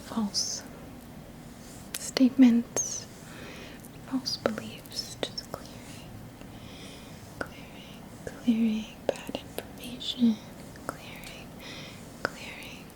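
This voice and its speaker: Female ASMR Reiki host. Soft unvoiced whisper, very unhurried, soothing, murmured repetitions. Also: a little high, level tone. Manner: slow, soothing, repeats words and phrases in a trailing murmur. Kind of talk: whispered ASMR guided energy-healing session.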